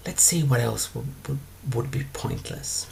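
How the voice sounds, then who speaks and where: A man talking alone as a spiritual teacher, explaining his ideas in a calm, unhurried way with drawn-out pauses. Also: mid-range voice, creaky. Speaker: spiritual teacher answering questions. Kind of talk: monologue lecture.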